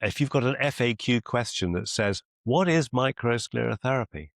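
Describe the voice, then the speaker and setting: A man coaching one-to-one on a video call as a mentor, deliberate and encouraging, often repeating phrases for emphasis. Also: mid-range voice, creaky, no echo, studio quality. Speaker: business mentor and clinician.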